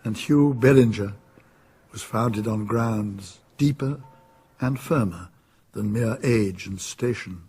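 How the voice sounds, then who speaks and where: A man with a husky voice reading scripted narration as the narrator of a radio drama. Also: on the low side, slow, a little mellow, exaggerated voice.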